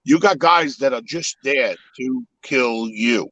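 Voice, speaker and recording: A man's voice: mid-range voice, slow, fairly bright tone, no room sound, dull audio. A man whose first language is Italian, talking in a casual, reflective way on a live podcast, with many restarts. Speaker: podcast host.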